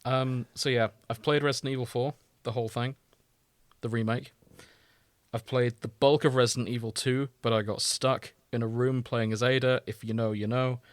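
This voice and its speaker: A man's voice: medium pitch, fairly even intonation, moderate pace, no echo. A man hosting a podcast in casual, teasing banter, with some swearing. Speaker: podcast host.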